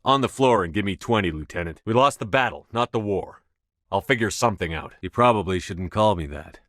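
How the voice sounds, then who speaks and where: An actor playing a resolute sci-fi military commander in a video game, with calm authority and measured, firm, clipped delivery. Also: male voice, fairly low pitch, no echo, clean studio sound. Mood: firm, commanding. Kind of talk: scripted video game dialogue.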